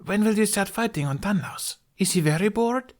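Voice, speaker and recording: A man's voice: sits high, expressive, pitched above the performer's own voice. A man voicing an eager, chatty young girl in an audiobook, friendly and full of questions. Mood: curious, chatty. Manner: rapid-fire questions.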